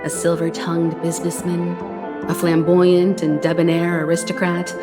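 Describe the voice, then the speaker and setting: A woman voicing a poised crew leader in video game dialogue, measured and authoritative, with music behind her. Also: on the low side, lightly acted. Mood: thoughtful. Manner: measured and authoritative, gives calm orders.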